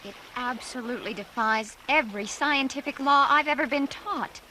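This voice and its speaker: An actress playing an excitable, knowledgeable woman scientist in a seventies audio adventure drama. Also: high-pitched, moderate pace, noisy background, close to an everyday voice. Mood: amazed. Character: female scientist explorer, excitable, awestruck, knowledgeable. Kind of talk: 1970s full-cast audio adventure drama acting.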